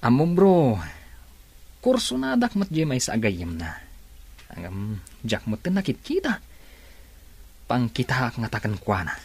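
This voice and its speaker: Husky, animated young Ilocano suitor. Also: male voice, medium pitch, measured pace, long pauses, heavily acted. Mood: bantering. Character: young man, smooth-talking teasing suitor and buddy. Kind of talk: Ilocano radio drama acting.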